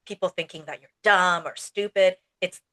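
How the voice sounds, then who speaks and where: A woman who is a native English speaker, co-hosting a casual podcast chat as an ESL teacher, speaking in a relaxed way with many fillers. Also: lowish voice, normal speed.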